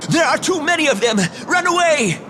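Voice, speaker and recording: A man's voice: very high voice, lively intonation, fairly quick. A man playing a solemn, protective, fretful old master in an anime-style video game. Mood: frightened. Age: older. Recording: clear background noise and ambience.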